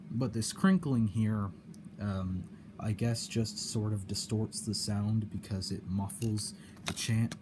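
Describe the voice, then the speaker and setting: A man with a slightly low voice talks very slowly in a casual explanatory vlog style. He is halting and tends to repeat his phrases as he goes. Noticeable noise sits behind him in a home recording. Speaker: cassette hobbyist demonstrating a problem. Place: indoor room at home. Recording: clear background noise.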